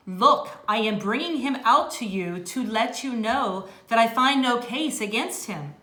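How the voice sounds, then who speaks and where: A woman reading scripture aloud as a lay reader, in a measured and deliberate way. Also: medium pitch, sharp tone. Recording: echoey room.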